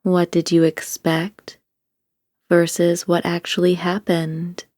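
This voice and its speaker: Slow, low-voiced woman guiding meditation. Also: no room sound, studio quality. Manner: calm, soothing, deliberate. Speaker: guided meditation narrator. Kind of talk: scripted guided meditation, slow gentle instruction.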